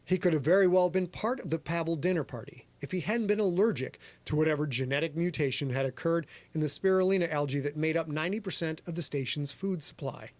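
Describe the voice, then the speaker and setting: Casting: fast-talking man, raised voice, author-host on a livestream, jokey and profane. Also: fairly high pitch. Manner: energetic, quick, profane, joking. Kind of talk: livestream chat and story reading. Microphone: livestream camera setup.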